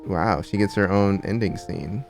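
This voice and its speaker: A man's voice: on the low side, unhurried, creaky. A man giving casual, teasing reaction commentary as he streams a video game. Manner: teasing, wisecracking. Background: game music.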